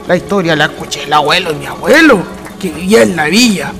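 A man's voice: on the high side, animated, fast talker, over loud music. An actor playing a simple, earnest country man with a rural Chilean accent in a radio drama. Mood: insistent.

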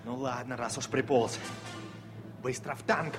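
An actor playing a gruff, fearless young tank soldier in a Soviet radio drama, excitable, over music. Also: male voice, medium pitch, unhurried, natural voice. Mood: battle-fired, defiant. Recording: 1970s radio play with loud music behind.

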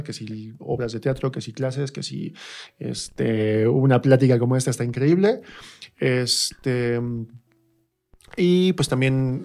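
A man with a central Mexican accent and a mid-range voice speaks as a guest in a relaxed, conversational interview. His manner is animated, and he often repeats phrases to press a point. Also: medium pitch. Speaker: radio guest, actor and theatre teacher.

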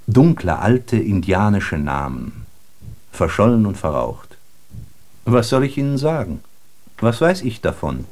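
A man's voice: fairly low pitch, darkish tone. An actor playing a world-weary, brooding traveling salesman who narrates a literary radio play, addressing the listener directly in a rhetorical, declamatory way.

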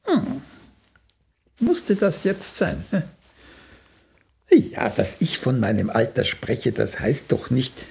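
An actor playing a vain, weary old writer in a radio drama, gravelly, wry and deliberate in his delivery. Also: mid-range voice, huge pitch swings, darkish tone, exaggerated voice. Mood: touchy, amused. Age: older. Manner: wry, deliberate, touchy about his age. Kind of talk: German radio play acting.

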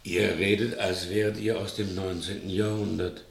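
A dry, gravelly, sly servant. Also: male voice, low voice, little pitch movement. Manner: dry, measured, deliberate. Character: dry, sly male servant, respectful but needling. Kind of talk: 1960 German radio play acting. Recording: vintage 1960 radio drama recording.

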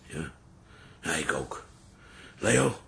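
An actor playing a gruff, blustering old bar-keeping father in a radio drama. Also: extremely low pitch, very animated, extremely slow, bright, forceful tone, exaggerated voice. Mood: weary, worried. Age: older. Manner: blustering, scolding.

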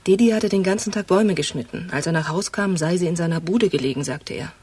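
A husky, plain-spoken woman. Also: fairly low pitch, fast, a little mellow. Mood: matter-of-fact. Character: familiar, plain-spoken woman, the inspector's confidante. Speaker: radio drama actor. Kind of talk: German radio crime drama dialogue.

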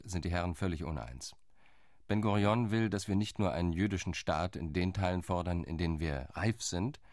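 A man playing a sober, deep-voiced envoy. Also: a little mellow, dry recording, clean studio sound. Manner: sober, reporting tone. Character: measured male Zionist envoy, calculating, reserved. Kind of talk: radio drama monologue.

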